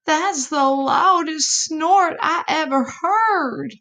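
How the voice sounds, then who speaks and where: A woman's voice: sits high, extremely slow, fairly bright tone, voice pushed up, exaggerated voice. A woman voicing a timid but boastful little possum in a children's storybook, exclaiming dramatically. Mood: frightened gasping.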